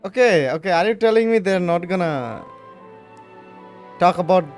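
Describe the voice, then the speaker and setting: A woman's voice: fairly low pitch, expressive, normal speed, darkish tone, soft background music. A woman giving casual, blunt game reaction commentary, often repeating her phrases. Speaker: gaming commentator.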